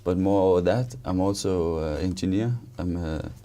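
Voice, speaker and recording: A Danish man with a Danish accent, introducing himself as an interview guest in a conversational, halting way, often repeating words. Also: low-pitched, mellow. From Denmark. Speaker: interview guest, author and engineer.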